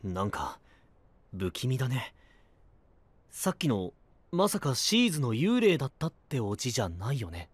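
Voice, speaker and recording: A calm, husky young knight. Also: male voice, medium pitch, moderate pace, long pauses, no room sound. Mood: uneasy. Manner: steady, reasoning, gently guiding. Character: calm level-headed young male knight. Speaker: voice actor. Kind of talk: anime voice drama.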